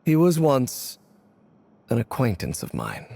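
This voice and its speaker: A man playing a secretive, ancient masked wanderer in a video game, measured, solemn and guarded, with a gravelly voice. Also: mid-range voice, huge pitch swings, a little mellow, exaggerated voice.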